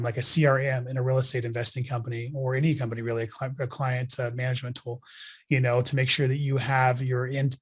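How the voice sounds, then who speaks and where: A man in his forties talking as a guest in an interview, blunt and emphatic, giving motivational advice with casual swearing. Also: medium pitch. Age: 40s. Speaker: podcast guest, real estate investor.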